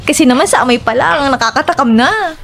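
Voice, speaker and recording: A woman's voice: high voice, lively intonation, fast talker. A woman with a Filipino accent playing an easygoing, playful young best friend in an audio drama. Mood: playful, teasing. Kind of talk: Tagalog audio drama acting.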